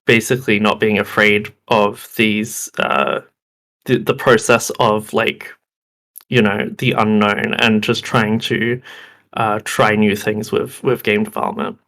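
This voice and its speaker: A young man who is slightly hoarse from being sick, giving a game development talk over a video call with many ums and restarts. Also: fairly low pitch, flat delivery, a little slow, fairly bright tone. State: hoarse, losing his voice. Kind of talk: presentation talk.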